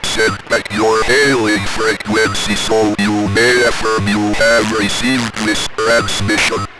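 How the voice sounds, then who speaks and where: An automated machine voice reading out transmission notices in a cold, impersonal, halting robotic manner. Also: medium pitch, over loud music, lightly acted. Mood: impersonal, emotionless. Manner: flat, halting, robotic phrasing. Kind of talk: automated scripted announcement.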